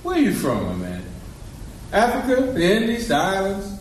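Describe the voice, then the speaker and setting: A man's voice: high-pitched, huge pitch swings, normal speed. An actor playing a nosy, incredulous man, questioning a stranger teasingly, recorded in a rehearsal space. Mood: nosy, probing. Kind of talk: theatre stage acting. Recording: echoey rehearsal room, distant mic, faint noise.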